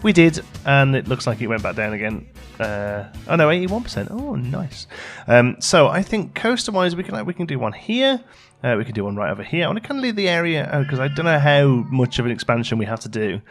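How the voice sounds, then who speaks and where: A man's voice: medium pitch, very animated, fairly quick, faint music behind. A man giving casual let's play commentary, chatty and thinking aloud as he plays. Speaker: gaming channel host.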